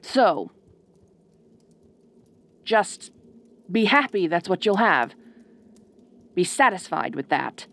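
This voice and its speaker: A woman plays a proud ice queen who rules every sentence. She speaks slowly and formally, with sweeping, expressive intonation. Her manner is bossy and condescending, with a guarded fondness underneath, in an intimate audio roleplay addressed to the listener. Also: lowish voice, creaky, exaggerated voice. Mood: commanding, guarded. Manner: imperious, formal, drawn-out condescending phrasing.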